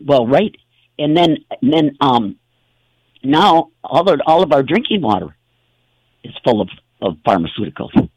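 A man's voice: mid-range voice, very animated, measured pace, long pauses, no echo, dull audio. A man hosting a talk show, telling stories in a rambling, casual way with many fillers and restarts. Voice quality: gravelly, husky.

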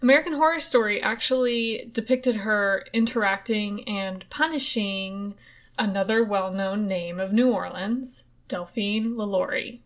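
Chatty young woman podcast host. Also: mid-range voice. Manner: chatty, swears casually, quick asides. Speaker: podcast host telling ghost stories. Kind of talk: casual chat.

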